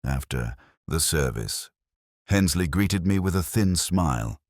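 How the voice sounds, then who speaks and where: A man as a very deep-voiced, brooding ageing detective. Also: animated, dry recording, studio quality. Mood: brooding, reflective. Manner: measured, reflective, solemn. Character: ageing, melancholy male detective, wry and brooding. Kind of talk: literary first-person radio drama narration.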